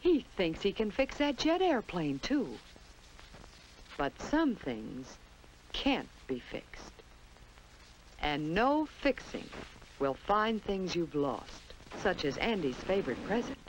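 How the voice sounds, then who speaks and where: A woman's voice: medium pitch, big pitch swings, slow pace, slight background noise, low-quality recording. An American woman narrating a 1950s children's instructional film in a simple storytelling manner, on an old film soundtrack. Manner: simple, storytelling delivery addressed to young children.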